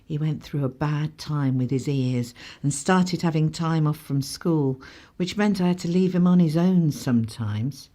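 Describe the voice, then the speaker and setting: A woman's voice: low voice, unhurried, few pauses, warm tone. An actress playing a stoic working-class mother, narrating her memories reflectively. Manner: reflective storytelling. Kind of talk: first-person radio drama narration.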